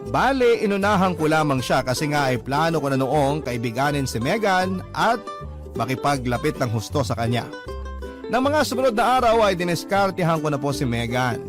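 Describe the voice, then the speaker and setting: A man narrating a letter writer's love story in the first person, earnest and confessional in radio drama style. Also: a little high. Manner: earnest, confessional, addresses the host. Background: guitar music.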